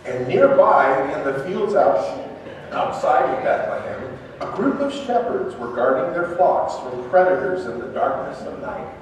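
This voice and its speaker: A man's voice: on the high side, very animated, a little slow. A man narrating a scripture dramatization to a congregation in a church. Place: large church interior. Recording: echoey church hall from far off, faint noise.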